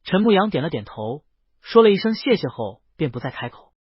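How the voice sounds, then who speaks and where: A man's voice: on the high side, very animated, a little fast, slightly rough, no room sound, muffled recording. A man reads a scripted story as an audiobook narrator, voicing the dialogue himself.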